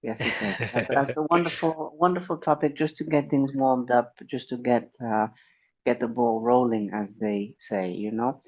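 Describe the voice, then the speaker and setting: A man's voice: medium pitch, fairly even intonation, normal speed, poor audio. A man hosting an interview podcast, polite and effusive, with a slight sore throat. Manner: polite, effusive, thanks guests warmly. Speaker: podcast host. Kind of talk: friendly interview chat.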